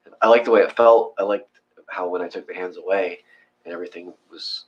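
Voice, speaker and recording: A man's voice: low voice, steady tone, brisk, lo-fi sound. A man chatting casually as co-host of a meditation session, rambling and joking at his own expense. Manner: joking, rambling, self-deprecating. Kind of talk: casual chat.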